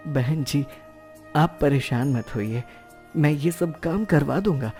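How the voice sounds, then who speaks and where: A man's voice: on the high side, slow, dark tone, soft background music. A man voicing a poor, humble and polite working father in a cartoon moral story.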